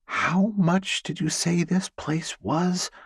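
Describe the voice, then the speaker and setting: Man voicing an anxious young woman, lifted pitch, unhurried. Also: fairly even intonation, vocal fry, breathy edge, dry recording, studio quality, full character voice. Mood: hesitant, pained. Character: young woman, anxious, dismayed house-hunter.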